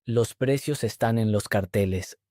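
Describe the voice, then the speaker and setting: Male host-teacher, mellow voice, even measured read, dry close sound. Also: lowish voice, dry recording. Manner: clear, even, measured delivery. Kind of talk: slow scripted dialogue reading.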